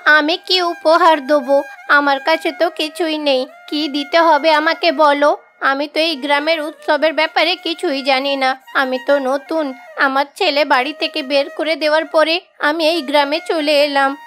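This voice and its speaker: Casting: actress as poor, pious older bangle seller, cartoon tale, high earnest voice. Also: female voice, steady tone, a little slow, sharp tone. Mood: humble, unsure. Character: humble, devout old bangle-selling village aunt. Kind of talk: Bengali fairy-tale cartoon dubbing.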